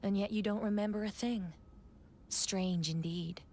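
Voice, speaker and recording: A woman's voice: medium pitch, fairly even intonation, unhurried, a little mellow, slight background noise, close to an everyday voice. A woman playing an indignant, accusatory impostor knight in a video game, with theatrical, pointed delivery. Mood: cold, challenging.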